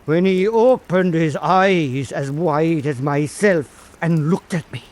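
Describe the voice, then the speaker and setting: A man playing an old Irish island storyteller, telling an eerie fireside tale in a deliberate, theatrical way for radio drama. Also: fairly high pitch, a little mellow. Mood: eerie, measured.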